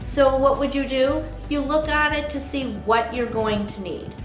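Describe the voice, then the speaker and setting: A woman's voice: high-pitched, unhurried, reverberant, soft background music. A woman co-hosting a casual advice show, explaining travel preparation step by step and quizzing her co-host. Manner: explanatory, asks co-host quiz questions.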